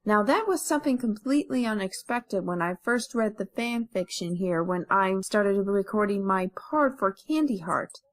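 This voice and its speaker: A woman's voice: mid-range voice, animated, normal speed, hardly any pauses. A woman voice actor giving an informal story review in earnest, long rambling sentences. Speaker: amateur voice actor.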